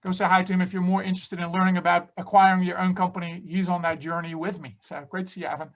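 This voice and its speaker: Fast-talking professor hosting a session. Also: male voice, high-pitched, flat delivery. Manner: conversational, fillers and restarts. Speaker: finance professor. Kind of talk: hosting an online info session.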